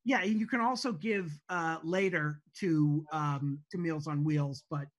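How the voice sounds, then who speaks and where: A man making casual, off-the-cuff remarks on a video call in a hesitant, halting manner. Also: a little high, dull audio. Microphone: computer video call.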